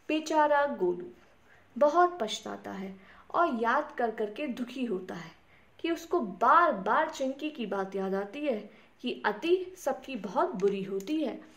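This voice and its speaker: A woman narrating a scripted bedtime story for children, speaking to them directly in simple words. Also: fairly high pitch. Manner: addresses small children directly with simple questions and repeated words.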